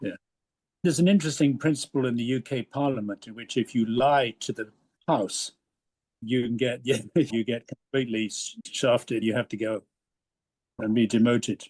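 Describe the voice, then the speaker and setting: Husky man in casual discussion. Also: mid-range voice, steady tone, moderate pace, darkish tone, no room sound, poor audio. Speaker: discussion participant.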